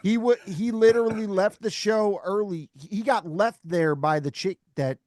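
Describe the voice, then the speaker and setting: High-voiced excited man. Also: normal speed. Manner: animated, slangy, swearing. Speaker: podcast host. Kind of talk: casual chat.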